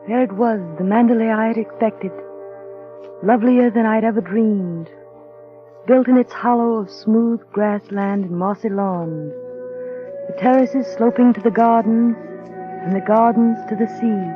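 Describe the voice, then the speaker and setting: An actress playing a shy, gentle young bride in a 1930s radio drama, polite and wistful. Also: female voice, a little high, measured pace, close to an everyday voice. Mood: wistful, narrating. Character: shy, gentle, nervous young bride narrating her story. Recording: dull, muffled vintage radio broadcast, music.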